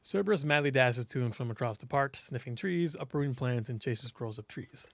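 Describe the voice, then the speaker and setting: A man reading a visual novel aloud as host, in expressive scripted narration. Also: mid-range voice, a little fast, vocal fry, no echo.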